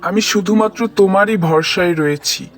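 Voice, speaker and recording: A man's voice: high voice, brisk, close to an everyday voice. A man playing a devout lone traveler who speaks prayerfully and earnestly in a moral cartoon. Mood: prayerful, hopeful. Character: devout lone male camel traveler, trusting in God. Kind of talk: scripted moral cartoon monologue.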